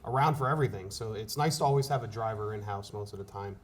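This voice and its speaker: A man's voice: fairly low pitch, fast. A man, a fire officer explaining things casually in an interview.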